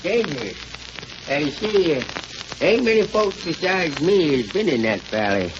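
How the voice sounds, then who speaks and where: A garrulous rustic attendant in an old radio play. His high voice rambles along in a folksy drawl. The recording is muffled and crackles with noise. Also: very animated, moderate pace. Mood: chatty, eager. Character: folksy, garrulous rustic gas station man. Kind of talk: 1930s radio acting.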